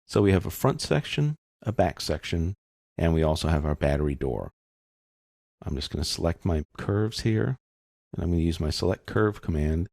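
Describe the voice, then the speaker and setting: A man giving an informal step-by-step software tutorial, explaining casually as he thinks aloud. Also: sits low, expressive, normal speed, vocal fry, no room sound, clean studio sound.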